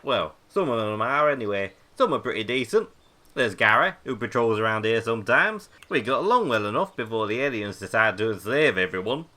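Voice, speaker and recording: A man acts out a gruff, grumbling survivor from a comic audio drama. He is blunt and deadpan, yet his delivery jumps widely in pitch and has a bright, forceful edge. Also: brisk. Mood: matter-of-fact, grumbling. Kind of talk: comedic audio drama acting.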